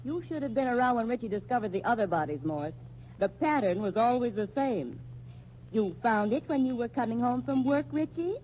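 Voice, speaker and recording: An actress playing a sardonic, dogged American newspaper reporter in a brash, needling 1940s radio style. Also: female voice, a little high, expressive, brisk, darkish tone, close to an everyday voice. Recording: muffled old radio recording, faint noise.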